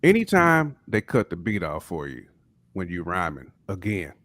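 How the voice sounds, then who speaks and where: Low-voiced easygoing man chatting hip hop. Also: huge pitch swings, normal speed, a bit bright, dry recording. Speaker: podcast co-host. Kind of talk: casual hip hop chat on a live stream.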